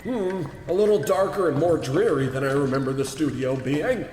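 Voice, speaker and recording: A man's voice: on the high side, moderate pace, echoey room, big-room sound, background noise, natural voice. A man playing a pompous, self-absorbed animation tycoon in a comedy radio play. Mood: blustering.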